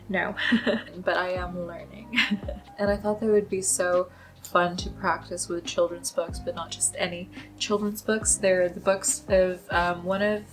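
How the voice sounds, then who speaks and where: A young woman chatting casually to camera as a book vlogger, upbeat and quick to laugh. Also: lowish voice, creaky, poor audio.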